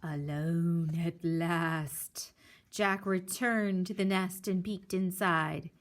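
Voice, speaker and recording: A woman reading a children's picture book aloud in an animated storytelling style. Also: lowish voice, slow pace. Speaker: story reader.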